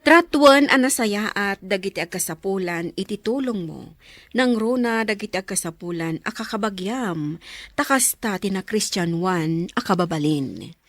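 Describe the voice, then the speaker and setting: Casting: female radio drama host, mid-pitched, scripted devotional delivery, close dry sound. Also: lively intonation, normal speed, no room sound. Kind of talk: scripted devotional narration and credits.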